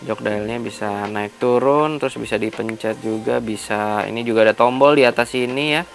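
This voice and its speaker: A man's voice: medium pitch, moderate pace, gravelly. Casual Jakarta man, music behind. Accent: Indonesian, Jakarta colloquial. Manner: informal, explanatory, thinking aloud. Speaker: motovlogger, product unboxing host. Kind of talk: casual unscripted unboxing and tutorial chat.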